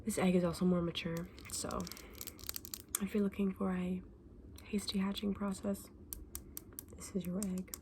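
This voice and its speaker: A woman playing a friendly, knowledgeable fantasy egg saleswoman in an ASMR roleplay, speaking softly and often in a breathy whisper, gently explaining things to a customer. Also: fairly low pitch, level tone, a little slow, pauses often, slight background noise, natural voice. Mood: helpful, soft.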